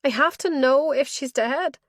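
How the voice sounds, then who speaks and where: A woman's voice: high voice, measured pace, dry recording, clean studio sound, pitched above the performer's own voice. A woman voicing a desperate, wounded adult daughter in an audiobook, pleading with emotion. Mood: anguished pleading. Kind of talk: audiobook character dialogue.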